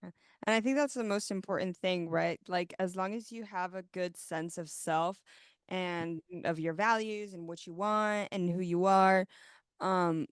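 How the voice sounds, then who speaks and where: A woman's voice: fairly low pitch, unhurried, clear, resonant tone, no room sound, studio quality. A woman hosting a podcast, chatting casually and reflectively with many fillers. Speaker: podcast host. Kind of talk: casual interview chat.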